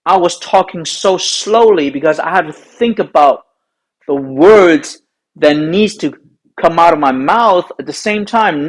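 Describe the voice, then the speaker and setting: A man's voice: sits high, low-quality recording. Chinese-accented man coaching golf. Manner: earnest, repetitive, emphatic. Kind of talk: instructional talk to camera.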